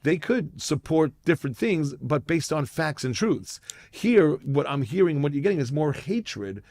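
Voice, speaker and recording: A man's voice: on the high side, sing-song, normal speed, a little mellow, dry recording. A male news show host asking a guest probing questions in an earnest, conversational way.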